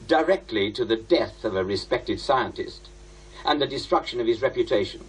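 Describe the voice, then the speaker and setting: A man's voice: medium pitch, lively intonation, normal speed, slightly rough, poor audio. A British man acting in a radio drama as a bitter, defiant investigative presenter with a sardonic, confrontational manner. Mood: probing, accusing.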